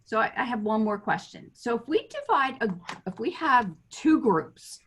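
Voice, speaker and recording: A woman's voice: a little high, very animated, moderate pace, a bit bright, a little hiss or hum. A woman on a webinar call asking questions, hesitant and probing.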